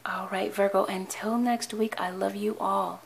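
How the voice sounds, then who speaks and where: A woman reading tarot cards for her viewers in a calm, earnest way, her voice hoarse and tired, often restarting her words. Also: mid-range voice, measured pace. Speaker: tarot reader. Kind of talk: weekly tarot reading to camera. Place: indoor tabletop with cards, voice off camera.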